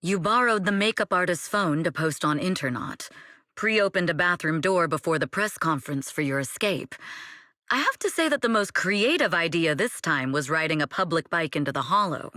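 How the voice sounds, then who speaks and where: A woman playing a shrewd, composed female manager and former spy in a video game, measured, dry and matter-of-fact. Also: on the low side, lively intonation, sharp tone, no echo. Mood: dryly amused.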